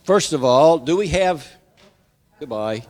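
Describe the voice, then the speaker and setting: A man's voice: on the high side, animated, takes it slowly, a little mellow. A man giving informal church announcements to a congregation, with folksy joking asides. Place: church sanctuary, live service. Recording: hall reverb from sound system.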